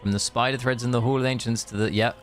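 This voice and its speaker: A man doing casual live game-stream commentary, joking, swearing and haltingly reading story text aloud. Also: mid-range voice, steady tone, faint music behind.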